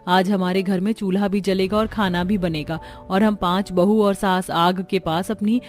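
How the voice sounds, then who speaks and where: Cartoon village daughter-in-law, acted by a woman: earnest, caring, dramatized delivery, mid pitch over background music. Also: fairly even intonation, moderate pace, lightly acted. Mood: happy, hopeful. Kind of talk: Hindi cartoon story dialogue.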